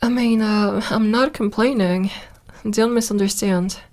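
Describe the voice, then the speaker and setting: A woman's voice: medium pitch, steady tone, unhurried, a little mellow, close to an everyday voice. A woman playing an ancient, lonely vampire in an ASMR roleplay, playful and tender, with a teasing drawl that turns hesitant when flustered. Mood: flustered, curious.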